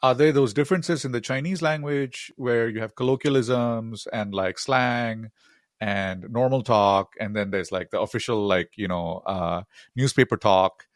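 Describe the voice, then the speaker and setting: A man's voice: on the low side, dry recording, clean studio sound. A man hosting a podcast interview, asking long rambling questions full of filler words. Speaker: podcast host. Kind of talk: casual interview.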